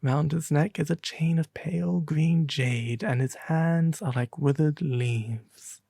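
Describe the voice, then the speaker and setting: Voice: mid-range voice, slow pace, vocal fry, dark tone, no echo, clean studio sound. A performer voicing a small, proud, fussy male swallow from a storybook. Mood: dreamy.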